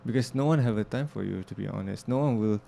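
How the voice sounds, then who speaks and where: Young male interview guest; gravelly, mellow-toned, earnest, stops and restarts mid-thought. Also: on the low side. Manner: reflective, earnest, halting with restarts and fillers. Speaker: interview guest, filmmaker and music producer. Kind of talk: casual interview talk.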